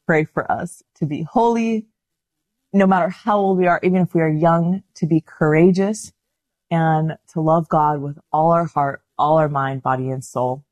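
Low-voiced chatty woman presenter. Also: steady tone, moderate pace, darkish tone, no room sound, highs cut off. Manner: chatty and enthusiastic. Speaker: online video presenter. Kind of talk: casual talk about a saint.

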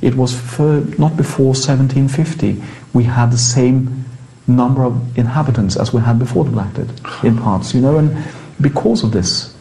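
A Norwegian priest, a man with a Norwegian accent, explains things conversationally in English, halting and rephrasing as he goes. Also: mid-range voice, vocal fry, dark tone, poor audio. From Norway.

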